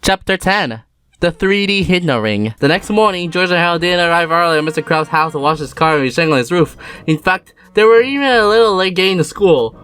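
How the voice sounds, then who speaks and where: A woman's voice: fairly low pitch, animated, a little fast, fairly bright tone, clean tone, no room sound. A woman reading scripted storybook narration as the narrator. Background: faint music.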